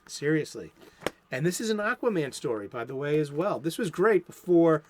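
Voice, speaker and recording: Rambling Boston-accented man, bright pitch. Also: big pitch swings, normal speed, a little hiss or hum. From Boston area, USA. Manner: rambling, many restarts and fillers. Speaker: comic book show host. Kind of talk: casual solo talk. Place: home studio.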